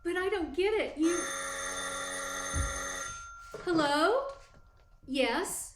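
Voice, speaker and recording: A woman's voice: very high voice, lively intonation, drawn-out words, background noise, heavily acted. A woman playing an anxious, devoted secretary in a radio play, full of alarmed questions. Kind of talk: 1940s-style radio play acting. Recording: echoey room.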